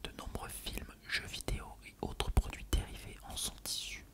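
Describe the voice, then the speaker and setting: An ASMR host, speaking in a soft, breathy, unvoiced whisper with careful articulation. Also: normal speed.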